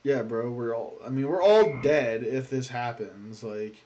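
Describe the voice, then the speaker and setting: A man's voice: medium pitch, measured pace, dark tone, muffled recording. A man reacts to clips in casual slang, blunt and joking. His voice sits in an ordinary middle range. Faint noise runs under his talk. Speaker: reaction video host.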